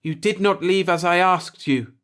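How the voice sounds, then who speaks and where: A man's voice: high voice, normal speed, fairly bright tone, pitched above the performer's own voice. A man voicing a stern, superstitious old alien native who speaks formally and insistently in a story. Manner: formal, insistent, archaic speech.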